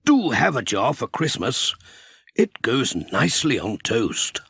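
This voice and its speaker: Bloke doing friendly chatty vicar in a kids story, kindly, up and down pitch. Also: male voice, huge pitch swings, slow, vocal fry, fairly bright tone, husky, no echo, studio quality, full character voice. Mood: genial. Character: genial, chatty village vicar, kindly and generous. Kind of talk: children's storybook character voice.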